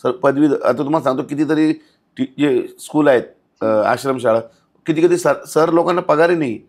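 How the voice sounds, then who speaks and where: High-pitched, blunt man. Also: normal speed. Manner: blunt, indignant, scolding, repeats rhetorical questions. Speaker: outspoken podcast guest commenting on local civic issues. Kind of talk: conversational podcast interview.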